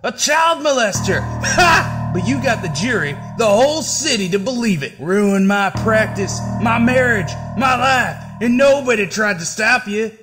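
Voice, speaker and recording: A man's voice: sits high, animated, a little slow, few pauses. A man acting a bitter, unhinged, vengeful figure who rants sarcastically in a comic-book audio drama. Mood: bitter, manic. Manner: ranting. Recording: music behind the voice.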